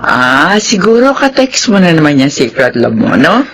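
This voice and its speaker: Teasing mother, very lively intonation. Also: sits low, moderate pace, exaggerated voice. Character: warm, teasing, encouraging middle-aged mother. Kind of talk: Filipino radio drama acting.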